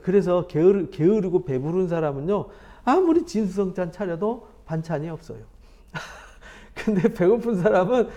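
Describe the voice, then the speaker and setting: Lively conversational male pastor, mellow tone. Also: high-pitched. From South Korea. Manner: conversational, animated, with laughs and rhetorical questions. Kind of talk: Bible study sermon.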